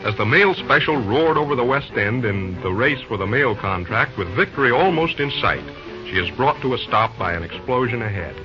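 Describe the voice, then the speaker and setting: A male announcer delivers dramatic scripted narration for a vintage radio serial. His pitch swings in a lively way, with music behind him on a dull old recording. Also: moderate pace. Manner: dramatic, declamatory.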